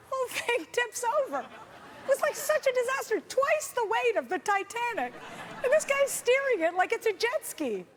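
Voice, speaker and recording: A young woman from Canada, whose first language is Hungarian, works a stand-up crowd with a high, bright voice. She rambles, restarts and teases herself. A faint hum sits under the stage mic. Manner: chatty, self-deprecating, lots of fillers and restarts. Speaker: stand-up comedian. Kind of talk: stand-up comedy set. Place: comedy festival stage.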